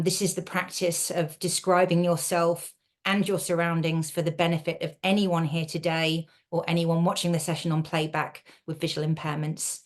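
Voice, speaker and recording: A woman hosts a panel over a video call from her home office. Her voice sits a little low, and she talks in a halting way, full of fillers and false starts. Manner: hesitant, many fillers and restarts. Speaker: panel moderator. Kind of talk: online panel hosting.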